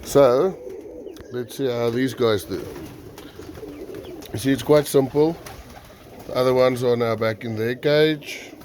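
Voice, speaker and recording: A man's voice: mid-range voice, slow pace, pauses often, a little mellow. A man with a South African accent, a native Afrikaans speaker, explains things off the cuff in a casual vlog while tending birds. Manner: unscripted, explanatory. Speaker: pigeon keeper presenting his own video. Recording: noisy, birds cooing nearby. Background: pigeons cooing, clear background noise.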